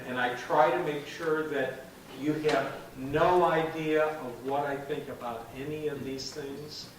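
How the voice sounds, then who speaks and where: A man walks a club audience through a computer demo, chatty and patient, checking in with a steady "okay." He sounds distant from the mic, with strong room echo and a faint hiss behind him. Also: medium pitch. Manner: chatty, explains step by step with frequent "okay". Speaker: club meeting presenter. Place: meeting room, heard from a distance. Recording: echoey room, far from the mic.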